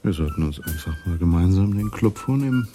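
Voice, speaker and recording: A man's voice: low-pitched, expressive, fairly quick, dark tone, faint music behind. A male actor playing a tough young street cop in a radio crime play, clipped, slangy and secretive. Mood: eager, secretive. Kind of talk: German radio crime drama acting.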